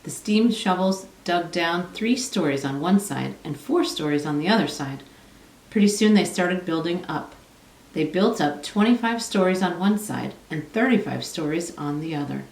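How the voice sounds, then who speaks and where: Female storybook narrator, lower register, heard from a little way off the mic in a roomy space. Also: animated, normal speed, a little mellow. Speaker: storybook reader. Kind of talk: children's read-aloud narration. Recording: echoey room, distant from mic.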